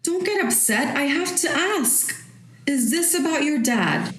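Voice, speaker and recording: A middle-aged mother in a roomy, echoing space, her voice a little high. She is protective and concerned toward her grown daughter. It is played as naturalistic audio drama. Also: animated, measured pace, crowd noise. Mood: worried, urging. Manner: concerned, slips into Spanish endearments and phrases. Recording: roomy indoor echo.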